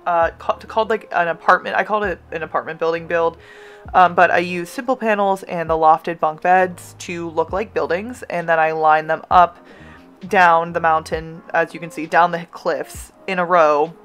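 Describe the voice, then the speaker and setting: A woman's voice: lowish voice, fairly even intonation, moderate pace, fairly bright tone, faint music behind. A young American woman with a slight lisp, giving a casual, rambling voiceover of her game build. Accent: General American. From United States. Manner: rambling, self-interrupting, laughs often.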